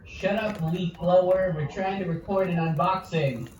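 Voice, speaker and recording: A man's voice: high-pitched, normal speed, noisy background. A man throwing in casual joking banter among friends. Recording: dull, echoey, distant mic, background chatter.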